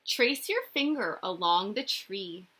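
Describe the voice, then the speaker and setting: A young woman reading a picture book aloud to small children like a teacher, expressive and sing-song, often putting questions to them. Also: mid-range voice, slow, breathy edge, low-quality recording. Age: young adult. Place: small indoor room.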